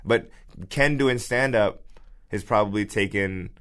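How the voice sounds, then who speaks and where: Slightly low man from the United States, chatty comic storyteller. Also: a little slow, a bit bright. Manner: storytelling, repeats words, acts out quotes. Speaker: stand-up comedian. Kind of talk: casual podcast chat.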